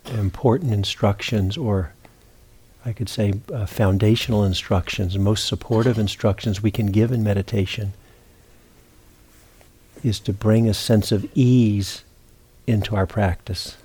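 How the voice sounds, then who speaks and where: A man with a low, very mellow and relaxed voice leads a guided meditation. He speaks as a teacher, gently and without hurry, leaving long pauses between short phrases. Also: husky.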